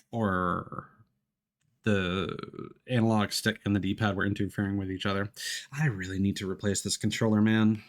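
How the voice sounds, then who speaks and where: A man's voice: sits low, creaky. A man doing casual live commentary as he streams a video game. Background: loud video game music.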